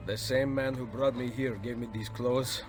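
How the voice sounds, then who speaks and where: An actor playing a stoic, courteous Italian assassin in a video game, speaking with an Italian accent. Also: male voice, medium pitch, steady tone, moderate pace, music in the background, lightly acted. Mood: weary, courteous. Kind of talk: video game cutscene acting.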